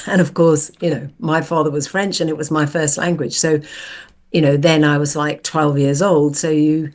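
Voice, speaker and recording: Older lady in her 70s, really low voice, thoughtful storyteller on a podcast. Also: little pitch movement, moderate pace. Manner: reflective, storytelling. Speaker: guest. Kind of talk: casual conversational interview.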